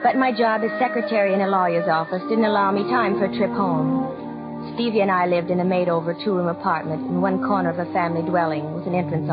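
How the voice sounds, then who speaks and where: An actress playing a gentle widowed young mother, narrating and acting in 1940s radio drama style on a muffled old recording. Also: on the low side, natural voice.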